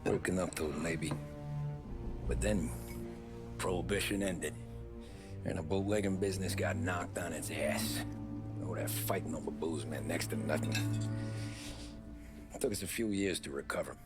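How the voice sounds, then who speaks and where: Male, low register, actor as world-weary 1930s mobster narrating his past; plain-spoken game drama, music underneath. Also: steady tone, moderate pace, pauses often, natural voice. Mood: reflective, measured. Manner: plain-spoken, matter-of-fact, slangy. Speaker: narrating lead character. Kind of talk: dramatic video game acting. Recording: music behind the voice.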